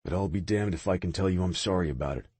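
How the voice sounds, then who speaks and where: A man voicing a brooding male vampire with a low, rasping, intimate delivery in an audiobook. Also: fast talker, a little mellow, dry recording. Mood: husky. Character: brooding male vampire warrior, grave and rough.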